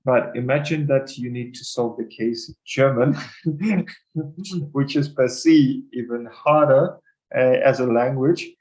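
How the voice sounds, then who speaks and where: A man's voice: mid-range voice, unhurried, darkish tone. Man, hesitant webinar host. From Ukraine. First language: Ukrainian and Russian. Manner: hesitant, many fillers. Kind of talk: casual advice over a video call. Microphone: video call. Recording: dull lo-fi call audio.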